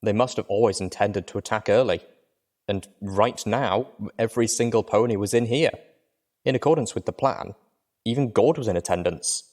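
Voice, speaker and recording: A man's voice: on the low side, darkish tone. A man reading scripted adventure fiction as the narrator.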